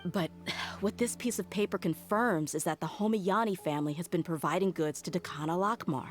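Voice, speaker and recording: A woman's voice: fairly low pitch, a little fast, soft background music, lightly acted. A woman playing a loyal, frank mercenary in a video game, with a firm and resolute manner. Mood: grim reasoning. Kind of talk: video game character acting.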